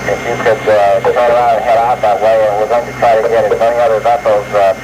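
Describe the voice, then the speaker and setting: A man speaking as coast guard captain of the port over VHF radio, in a formal, measured but halting way. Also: fairly low pitch, level tone. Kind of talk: VHF radio dispatch traffic. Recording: noisy VHF radio, old reel-to-reel tape. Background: loud radio and tape noise.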